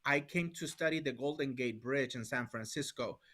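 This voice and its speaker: A middle-aged man from Mexico speaks English with a Mexican Spanish accent and a bright, forward tone. He talks earnestly as an interview guest, often stumbling over and repeating his words. His delivery is conversational and sincere. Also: mid-range voice, normal speed.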